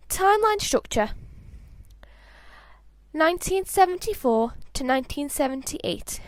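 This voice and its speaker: High voice woman reading a fanfic out loud, amateur audiobook style, expressive, dry sound. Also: dry recording. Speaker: fan fiction narrator.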